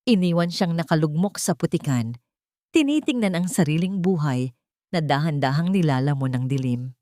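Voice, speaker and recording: A woman's voice: deep voice, expressive, moderate pace, warm tone, no room sound, clean studio sound. A woman reading a scripted, dramatic story as its narrator.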